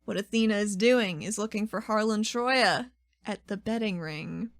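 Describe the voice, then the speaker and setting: A woman's voice: medium pitch, moderate pace, no echo, studio quality. A woman chatting casually as a player in a tabletop game, describing what a character does.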